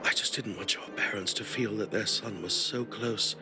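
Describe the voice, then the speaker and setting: Guy doing worried old butler type, lowish voice, game music loud behind. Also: fairly even intonation, moderate pace, lightly acted. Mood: anxious. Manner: anxious, deferential. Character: elderly loyal male family servant, anxious. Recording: studio voice-over with game music.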